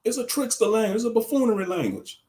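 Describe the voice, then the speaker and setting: A man's voice: high-pitched, lively intonation, quick pace, a little mellow. Dude rambling on his livestream, slangy and kinda preachy, chuckles at his own bits. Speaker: livestream commentator. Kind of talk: casual livestream talk answering chat.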